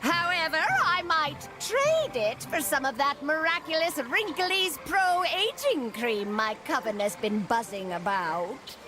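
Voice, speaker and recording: A woman's voice: sits high, lively intonation, measured pace, few pauses, over music. A woman voicing a vain, cranky old forest witch in a cartoon game, theatrical and curt. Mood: scheming. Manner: theatrical, curt, dismissive, mock-archaic. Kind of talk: animated video game voice acting.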